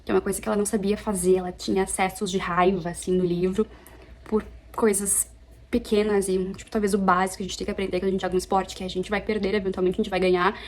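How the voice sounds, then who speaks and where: A young woman from Brazil, chatting casually at home as she reviews a book, animated and often correcting herself. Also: medium pitch, fast. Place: apartment at home.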